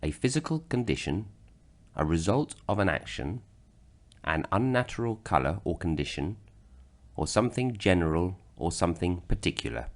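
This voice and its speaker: A man from Britain narrating a slideshow grammar lesson, explaining slowly and deliberately. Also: low-pitched, expressive. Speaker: language teacher.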